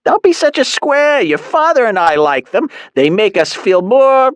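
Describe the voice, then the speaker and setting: A man voicing a chatty, teasing middle-aged mother in a high, sing-song voice. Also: big pitch swings, measured pace, clear, resonant tone, no room sound, heavily acted. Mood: teasing, giddy.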